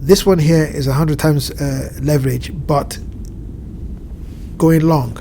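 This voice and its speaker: A man with a blocked nose and a slightly nasal voice, walking through a chart with measured explanations and frequent pauses to find his words. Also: fairly high pitch, fairly even intonation, warm tone, slight background noise. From Southern Hemisphere. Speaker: crypto market analyst. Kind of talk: screen-share chart walkthrough. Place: home at evening, indoors.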